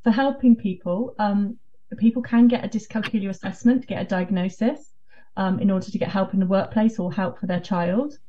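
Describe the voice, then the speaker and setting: A woman's voice: medium pitch, fairly even intonation, moderate pace, dark tone. A woman with a British accent explaining things as a guest in a radio interview. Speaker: interview guest, dyscalculia network co-founder. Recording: dull, slightly distant remote link.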